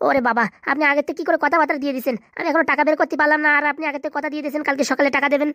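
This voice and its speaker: Guy doing a worried young cartoon son in law, hesitant n fretful, rattles along super fast, dry sound. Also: extremely high pitch, fairly even intonation, sharp tone, dry recording. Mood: evasive. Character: anxious, reluctant young son-in-law, newly rich husband. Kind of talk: comedy cartoon dialogue.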